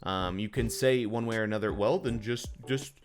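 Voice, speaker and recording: A man's voice: on the low side, normal speed. A man giving a casual, unscripted gaming news commentary in an exasperated, incredulous and slangy way, leaning hard on his points. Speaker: gaming video creator.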